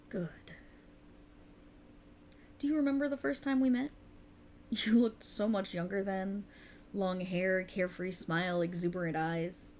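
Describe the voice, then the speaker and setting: A woman's voice: medium pitch, creaky, a little mellow, slight background noise. A woman voicing a cruel, condescending otherworldly warlock patron in a theatrical, taunting ASMR roleplay, close to the microphone. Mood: mock-tender command, then scornful reminiscing. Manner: taunting, theatrical, mock-affectionate, drawn-out emphasis. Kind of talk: ASMR roleplay, dramatic monologue.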